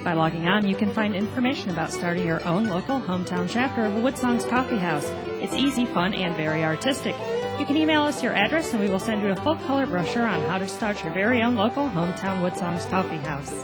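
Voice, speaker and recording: A woman's voice: mid-range voice, lively intonation, quick pace, poor audio. Woman announcing on radio over music. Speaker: announcer and listener-mail reader.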